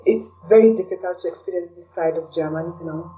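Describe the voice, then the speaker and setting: A woman from Kenya speaking as a podcast guest in a casual, reflective way, often pausing to search for words. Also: on the low side, mellow. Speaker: interview guest, blogger and podcaster. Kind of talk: casual conversational interview. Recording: distant, dull lo-fi call sound.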